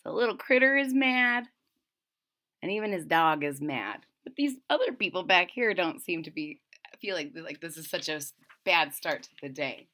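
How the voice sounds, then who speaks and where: Bright, animated woman reading aloud. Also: fairly low pitch, moderate pace. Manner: expressive, chatty asides between pages. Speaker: storybook reader. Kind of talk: reading a picture book aloud to children.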